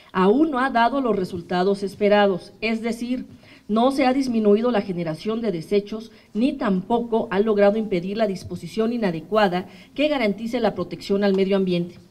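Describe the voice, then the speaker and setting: A woman in her thirties with a Mexican accent, reading a formal statement at a podium microphone for a human rights commission, measured and steady. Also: medium pitch. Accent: Mexican Spanish. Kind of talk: formal statement read aloud. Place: indoor press room, at a podium.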